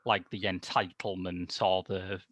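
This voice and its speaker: A man's voice: sits low, little pitch movement, unhurried, a bit bright, no room sound. A man giving casual, thoughtful and wry film analysis on a video call. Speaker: podcast film reviewer.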